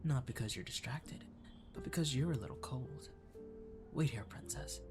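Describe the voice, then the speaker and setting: A man playing an attentive, playfully flirty catboy cafe waiter in an ASMR roleplay, speaking softly and often slipping into a whisper. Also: medium pitch, expressive, normal speed, over music, lightly acted. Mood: gentle, caring. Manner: soft-spoken, drifting into whispers.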